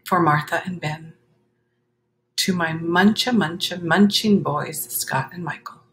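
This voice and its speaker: A woman reading a children's picture book aloud, expressive, with chatty explanatory asides to young listeners. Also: lowish voice, measured pace, a little hiss or hum.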